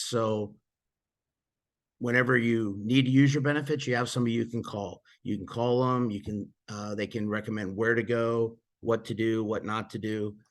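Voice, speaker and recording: A man casually walking through insurance plan details, a little hoarse and losing his voice, with frequent fillers and restarts. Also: mid-range voice. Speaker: presenter explaining insurance plans. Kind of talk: casual explanatory walkthrough.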